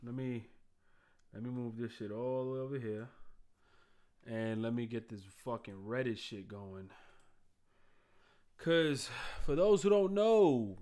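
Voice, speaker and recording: Man, live streamer, desk mic, casual, profane, rambling, mid-pitched. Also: darkish tone. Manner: loose, profane, rambling, talks to chat. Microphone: streaming microphone at a desk.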